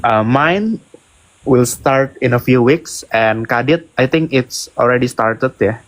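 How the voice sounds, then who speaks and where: A man's voice: medium pitch, lively intonation, measured pace. A young man with an Indonesian accent, chatting on a livestream in English with playful hesitations and fillers. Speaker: livestream co-host. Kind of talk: casual livestream chat.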